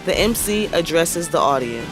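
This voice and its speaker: A man reads scripted stage directions for a radio play. His voice is high for a man. Faint music sits behind the narration. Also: expressive, normal speed.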